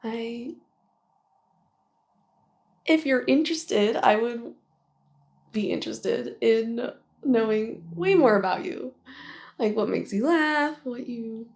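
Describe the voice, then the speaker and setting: A woman playing a flustered young office worker in an intimate ASMR roleplay. Her voice is slightly high with plenty of creak, and she speaks slowly and haltingly, nervous, giggly and self-deprecating as she rambles toward a confession. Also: animated, a little mellow. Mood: nervous, curious.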